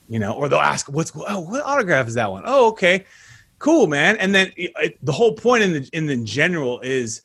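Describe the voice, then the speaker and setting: A man hosting a casual podcast interview over a video call, energetic and slangy, punching words with sudden loud bursts. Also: on the high side, husky. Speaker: podcast host.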